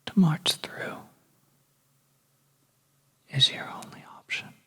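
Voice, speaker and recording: A storyteller narrating a suspenseful ASMR tale in a soft, breathy whisper, hushed and gentle with pauses. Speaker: audio storyteller.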